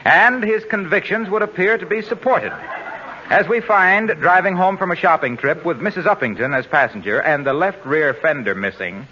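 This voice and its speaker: A muffled old radio broadcast has a man announcing with polished sales patter. His voice is fairly high and forceful, with lively rises and falls in true forties style. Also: normal speed, slight background noise. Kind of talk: 1940s radio announcing and ad read. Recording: dull 1940s radio recording with faint music.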